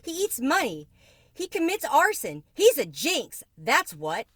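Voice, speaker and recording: A melodramatic, stubborn storybook mother, acted out by a woman reader. She scolds emphatically in a raised, forceful voice. The sound is dry and close. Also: high voice, wildly swooping pitch, unhurried, no room sound, voice pushed up, full character voice. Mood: indignant, stubborn. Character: excitable, accusing mother, indignant, dramatic and stubborn.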